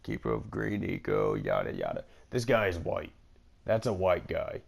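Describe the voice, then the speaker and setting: Low, creaky young man commenting on a game. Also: wildly swooping pitch, moderate pace. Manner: offhand, rambling asides. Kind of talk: casual jokey game commentary. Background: game music.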